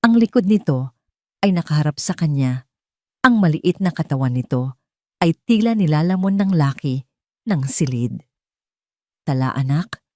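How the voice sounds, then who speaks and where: A woman reading a scripted dramatic story as narrator, in a measured, suspenseful way. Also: deep voice, animated, no room sound, studio quality. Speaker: narrator of audio drama stories.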